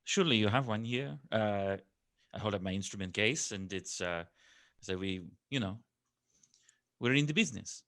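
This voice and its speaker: A man's voice: lowish voice, normal speed, pauses often, fairly bright tone. A man voicing an older male horn player with a dry, wry manner.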